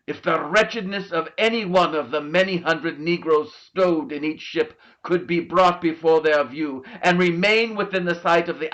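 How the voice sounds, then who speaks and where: A man playing an earnest eighteenth-century British parliamentarian, delivering a formal, measured abolition speech as a dramatic monologue. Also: on the high side, fairly bright tone. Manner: solemn, measured, declamatory. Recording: muffled, dull video-call audio.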